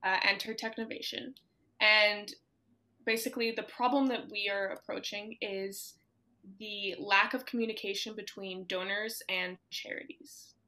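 A woman's voice: medium pitch, steady tone, measured pace, pushed and bright, rough recording. A teenage girl answering interview questions over a video call from home, upbeat and giggly with lots of ums. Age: teens. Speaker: student entrepreneur guest. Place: home room with closet doors. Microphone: laptop webcam on video call.

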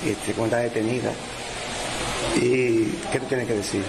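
A man's voice: mid-range voice, little pitch movement, moderate pace. A man reporting from the field, asking short, pressing questions from off camera. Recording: distant from the mic with loud noise.

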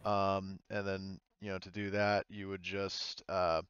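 Male game master, low and clean voice, informal and halting narration, slightly dull close-up audio. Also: completely flat delivery, a bit bright, clear, resonant tone, no room sound. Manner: hesitant, informal, explanatory. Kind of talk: casual tabletop game narration, improvised.

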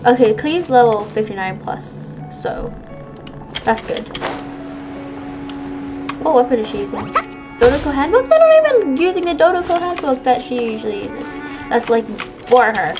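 A woman's voice: high voice, very animated, normal speed, long pauses, low-quality recording. A woman giving casual gameplay commentary, cooing over and cheering on her characters. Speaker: gamer commentating a playthrough. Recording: game music loud behind voice. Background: loud video game music.

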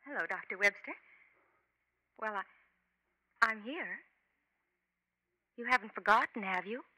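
A woman's voice: mid-range voice, measured pace, pushed and bright, poor audio. A woman playing a coy, forward lady caller, coquettish and insistent, in 1950s radio acting. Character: coy, forward female caller, miffed admirer. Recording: 1950s radio drama recording.

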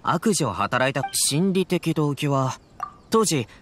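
A man's voice: fairly high pitch, expressive, takes it slowly, a little hiss or hum. A man playing an earnest, self-assured samurai guard in a video game, formal and emphatic. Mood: officious, indignant. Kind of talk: video game dialogue acting.

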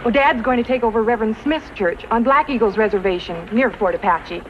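A woman's voice: a little high, brisk, close to an everyday voice. A woman playing a friendly, polite young preacher's daughter in a 1950s radio western. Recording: dull 1950s radio recording, faint noise.

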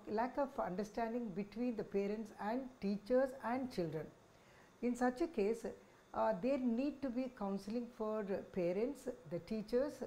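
Older Indian-accented woman doctor, mellow voice. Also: mid-range voice, poor audio. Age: 60s. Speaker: senior child psychiatrist, head of department. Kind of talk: informational talk to camera. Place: small office, indoors. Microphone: lapel microphone.

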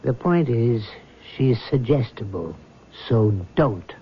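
An actor playing a measured, rational doctor in a 1970s radio drama. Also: male voice, medium pitch, animated, husky, full character voice. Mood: firm. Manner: measured, clinical. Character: calm rational male doctor, middle-aged, firm. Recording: dull old radio broadcast with faint hiss.

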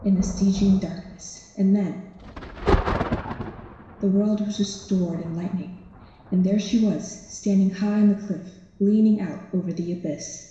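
A woman's voice: medium pitch, mellow. A woman giving solemn, literary narration for a dramatic radio play. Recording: dull, very echoey, noisy background.

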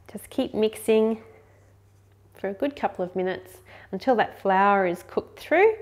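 A woman's voice: medium pitch, a little mellow. A woman in her thirties hosting a cooking show, chatting upbeat and explaining each step in her home kitchen. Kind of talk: friendly recipe demonstration.

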